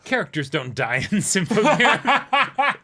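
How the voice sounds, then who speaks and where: A man's voice: high voice, big pitch swings, takes it slowly, noisy background. A man chatting casually as an anime reaction co-host, emphatic and opinionated, often sarcastic. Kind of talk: casual chat discussing an anime episode.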